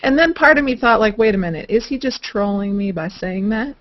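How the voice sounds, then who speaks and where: A woman's voice: expressive, fairly quick. A woman chatting casually as a participant in an online group call. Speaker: hangout participant. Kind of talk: casual chat. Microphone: video call. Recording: muffled low-fidelity video call audio.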